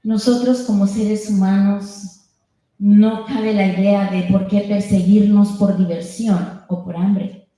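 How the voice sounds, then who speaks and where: A woman with a Spanish accent makes a public comment as a union member. Her voice is mellow and mid-pitched, and she speaks in short passages. The meeting room rings with heavy echo. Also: fairly even intonation, unhurried. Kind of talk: public comment speech with pauses for an interpreter. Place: indoor public meeting hall.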